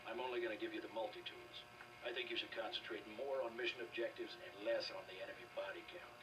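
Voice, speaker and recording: A stern older army quartermaster in a video game, played by a man, with a low, brisk voice. He is principled and plain-spoken, and music plays behind him. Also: recorded from a distance, lightly acted, poor audio. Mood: stern, reproachful.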